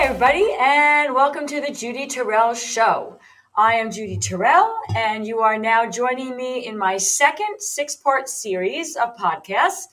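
A woman's voice: a little high, fairly bright tone, poor audio. Chatty woman in her fifties hosting. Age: 50s. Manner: chatty, explanatory, with frequent ums and restarts. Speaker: podcast host and personal trainer. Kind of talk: casual solo podcast talk.

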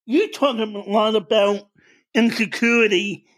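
A man hosting an interview, asking calm, open questions about faith and purpose. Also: high-pitched, a little slow. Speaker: podcast host.

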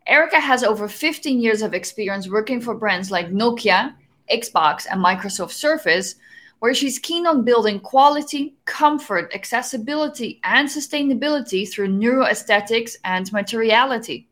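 Measured woman podcast host. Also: on the high side. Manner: measured, scripted intro then relaxed questions. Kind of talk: podcast interview hosting. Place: Milan studio or home.

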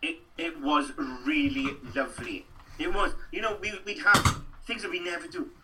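Welsh man, middle-aged, actor guest, slightly high voice, restarts a lot. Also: normal speed, distant mic, slight background noise, poor audio. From Wales. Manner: animated, rambling storyteller with lots of restarts. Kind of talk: chatty interview answers.

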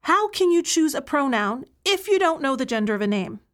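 A woman's voice: high voice, big pitch swings, fairly quick, a bit bright. An actress playing an incarcerated woman who tutors other prisoners, speaking frankly and earnestly in an audio play monologue. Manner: earnest, frank, building to a plea for change.

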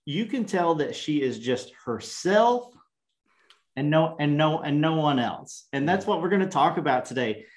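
A man's voice: a little high, lively intonation, normal speed. A man from Kentucky with a Kentucky accent, co-hosting a podcast in casual chat, friendly, with many restarts. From Kentucky, USA. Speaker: podcast co-host.

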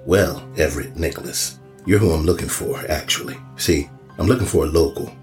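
A man's voice: very deep voice, faint music behind. A man playing a middle-aged small-town police chief in a horror audio drama, with a folksy, probing manner. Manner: folksy, unhurried questioning.

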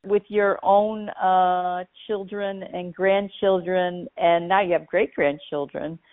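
A woman asks questions in an easy, conversational way, nudging the talk along with soft affirmations. Her voice sits a little low. The sound is thin and phone-like. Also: fairly even intonation, slow pace. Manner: gently prompting, with many short affirmations. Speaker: interviewer. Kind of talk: conversational interview. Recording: tinny phone-like line.

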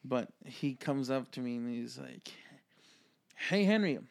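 Casting: male podcast co-host in his twenties, Midwestern accent, average pitch, loose joking chat with plenty of cursing. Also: expressive, a little slow, creaky, darkish tone. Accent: Midwestern American. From American Midwest. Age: 20s. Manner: joking, swears freely. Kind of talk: casual comedic podcast chat.

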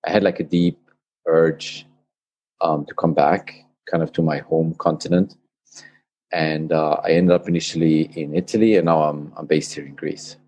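Male guest, forties, deep voice, hesitant storyteller. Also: little pitch movement, moderate pace, a little mellow. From Austria. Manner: hesitant, with fillers and restarts. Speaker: podcast guest. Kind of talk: casual interview chat.

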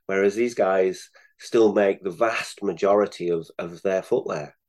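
A man speaking as an interview guest, explaining things conversationally with measured, hesitant pauses. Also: sits low, a little mellow. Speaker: guest, sales director. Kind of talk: casual interview talk.

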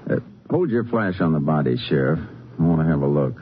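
An actor playing a calm, laconic Texas Ranger investigator in a 1950s radio drama, terse and methodical. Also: male voice, very deep voice, fairly quick, slight background noise. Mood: businesslike. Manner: terse, methodical, matter-of-fact. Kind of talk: 1950s radio drama acting and case narration. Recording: dull old radio recording.

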